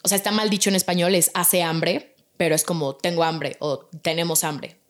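A young woman with Italian as her first language, chatting fast as an interview guest and filling her talk with repeated yeses and fillers. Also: on the low side, steady tone. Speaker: podcast guest. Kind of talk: casual interview chat.

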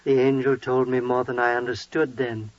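An actor playing a devout, awestruck priest and father in 1940s radio drama, solemn, halting and declamatory. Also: medium pitch, flat delivery, dry recording, close to an everyday voice. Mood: prophetic. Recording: muffled old 1940s radio recording.

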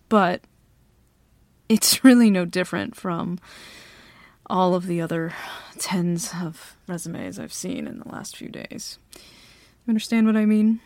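A woman playing a slow, teasing bossy CEO. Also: mid-range voice, dry recording. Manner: slow, confident, teasing, with soft laughs. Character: bossy, teasing female CEO interviewing an applicant. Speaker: ASMR roleplay performer. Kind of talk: flirty ASMR roleplay.

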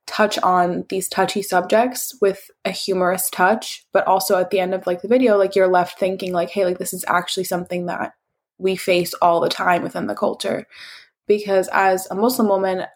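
A woman's voice: fairly low pitch, flat delivery, normal speed. A woman guest in a casual interview, talking reflectively and candidly about her content, leaning on "like" a lot. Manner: reflective and candid, heavy use of "like". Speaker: interview guest, social media creator.

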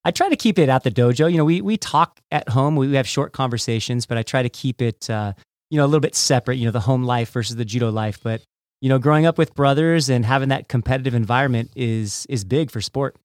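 A man's voice: mid-range voice, fast talker, a little mellow, no echo, clean studio sound. A middle-aged man hosting a podcast, moving between a scripted introduction and casual interview talk. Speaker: podcast host.

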